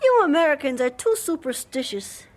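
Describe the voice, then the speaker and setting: A woman playing an eager, cheeky young Chinese pupil with an excited, blunt, teasing manner in a radio drama. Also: sits high, normal speed, slight background noise.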